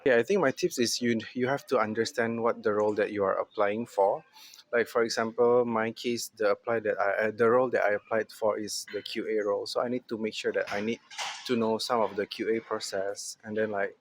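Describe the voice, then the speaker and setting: A man's voice: lowish voice, fairly even intonation, brisk, a little hiss or hum. A man from Indonesia answering interview questions at an office, talking casually with many fillers. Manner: hesitant, filler-heavy. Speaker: interviewee, moderation operations.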